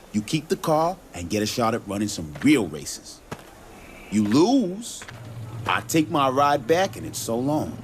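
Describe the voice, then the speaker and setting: An actor playing a cocky, folksy racing mentor in a video game, with a swaggering, teasing, informal way of talking. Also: male voice, medium pitch, slight background noise. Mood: challenging. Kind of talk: video game cutscene acting.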